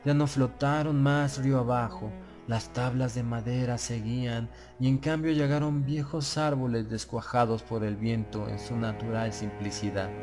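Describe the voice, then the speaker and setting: A man reads a gothic horror story in a voice of medium pitch. His delivery is solemn and literary, measured, with phrase endings that drop away. Music plays clearly behind the narration. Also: fairly even intonation. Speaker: audiobook narrator. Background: background music.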